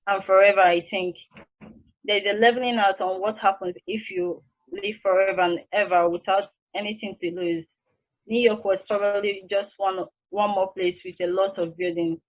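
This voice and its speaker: Over tinny, phone-like audio comes a reflective, sympathetic woman , acted at a table read. She speaks conversationally at a middling pitch. Her thoughts trail off wistfully, as in an intimate stage play. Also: close to an everyday voice.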